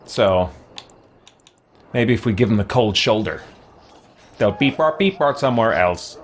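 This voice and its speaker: Man as let's play host: informal, expressive intonation, slight background noise. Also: lowish voice, measured pace. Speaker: gaming video host. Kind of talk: casual let's play commentary.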